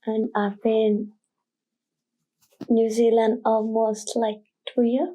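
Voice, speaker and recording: A woman's voice: a little high, little pitch movement, takes it slowly, mellow, a little hiss or hum, rough recording. A young woman from India sharing her experience casually in simple, short phrases. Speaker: youth programme participant. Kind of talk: casual personal testimony.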